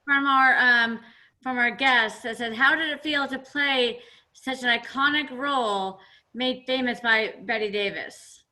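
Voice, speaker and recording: A woman's voice: high voice, unhurried, bright, forceful tone. A woman speaking informally as co-host of a community panel event. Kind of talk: informal closing remarks and audience questions.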